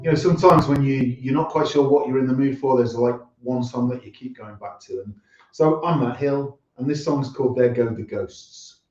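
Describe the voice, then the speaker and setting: A man's voice: medium pitch, normal speed, music in the background. A man chatting casually as a guest singer-songwriter. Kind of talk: casual chat. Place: indoors at his own home. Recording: roomy, distant mic, dull sound.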